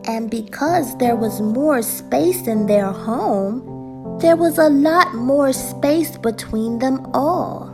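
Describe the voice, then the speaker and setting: Female children's storybook reader, higher voice, unhurried and gentle, prominent music bed. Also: lively intonation. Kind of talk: children's story read-aloud.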